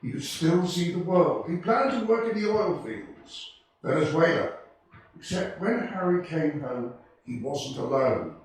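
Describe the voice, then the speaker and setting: A man playing a heavenly narrator who patiently recounts a life story in a radio play, explaining in a measured way. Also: a little high, animated. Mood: explanatory. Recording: echoey room, distant mic.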